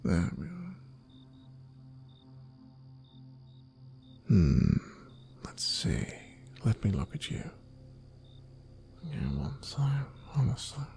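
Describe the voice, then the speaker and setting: A man voicing a kindly, fatherly wolf farmer in a soft-spoken, whispered ASMR roleplay, soothing and unhurried as he murmurs. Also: medium pitch, wildly swooping pitch, creaky, exaggerated voice. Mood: gentle, focused. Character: kindly dad-bod wolf farmer, gentle, fatherly caretaker. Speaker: ASMR performer.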